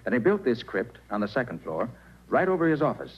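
An actor playing a confident male private detective in 1940s radio style, with a brisk, authoritative manner. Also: mid-range voice, sing-song, husky. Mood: explaining. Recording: muffled, noisy 1940s radio recording.

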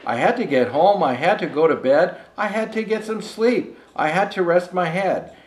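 An older man playing a jolly old Santa Claus, gently reading a rhyming storybook aloud with chatty asides. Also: high voice, normal speed, natural voice. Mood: storytelling. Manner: gentle storyteller, showing pictures to listeners. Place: indoor room set with fireplace and tree. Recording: echoey room.

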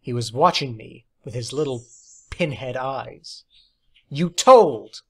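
A man's voice: mid-range voice, unhurried, slightly rough. A man reading a short story aloud as scripted audiobook narration. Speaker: audiobook reader.

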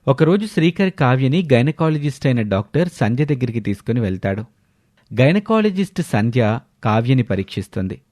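A man's voice: mid-range voice, big pitch swings, brisk, darkish tone, no echo, studio quality. A man narrating a scripted story in the manner of a storyteller.

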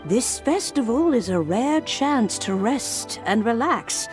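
A kindly old grandmother in a fantasy game, who teases her eager pupil with amused fondness. She speaks slowly, with her pitch rising and falling a lot, and there is soft music behind her. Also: expressive. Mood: fond. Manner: warm, fond, amused. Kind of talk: video game voice acting.

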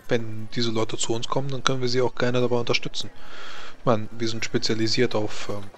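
Man game master, flat and halting delivery. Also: medium pitch. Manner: halting, explanatory. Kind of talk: improvised in-character tabletop roleplay.

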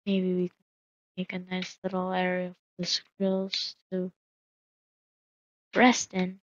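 A woman's voice: fairly low pitch, monotone, slow, no echo, dull audio. A woman casually narrating her solo game roleplay, musing aloud with hesitant fillers.

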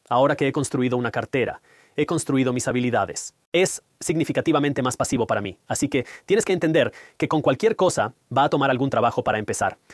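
A man's voice: medium pitch, no echo, clean studio sound. A man in his thirties, a native Punjabi speaker, teaching a whiteboard finance lesson in a direct, explanatory way, speaking straight to the viewer. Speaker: finance educator.

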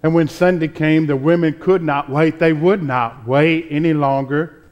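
A man in his sixties preaching a sermon as a pastor, with deliberate, measured phrasing and dramatic pauses. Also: fairly high pitch, steady tone, lo-fi sound. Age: 60s. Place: church sanctuary, at the pulpit. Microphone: pulpit microphone.

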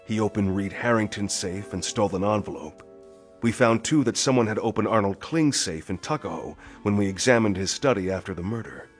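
Actor as a low-voiced, suspicious police detective. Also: male voice, fairly quick, soft background music, natural voice. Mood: puzzled, suspicious. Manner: probing, insistent, questioning. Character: brisk, suspicious male police detective, hard-nosed interrogator. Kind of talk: pulp thriller audio drama acting.

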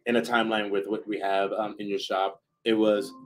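A young man hosting a livestream signing, joking and excitable in a casual way. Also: on the low side, brisk, noisy background. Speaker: stream host. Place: small streaming studio.

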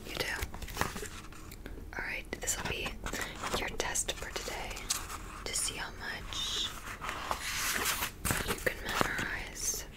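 A young woman whispering breathily in an ASMR roleplay, gently teaching as a witch in an unhurried way. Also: low-quality recording. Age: young adult.

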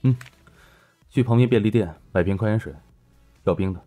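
A man playing a cool, commanding older brother in an audio drama, laconic and deadpan. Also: on the low side, moderate pace, faint music behind, lightly acted. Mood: curt.

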